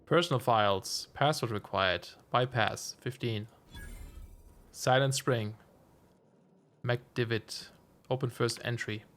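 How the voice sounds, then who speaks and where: A slightly hoarse man chatting casually while streaming a game, musing aloud with self-amused asides. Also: medium pitch, slow, pauses often, a bit bright. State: slightly hoarse, losing his voice. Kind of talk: casual let's-play commentary.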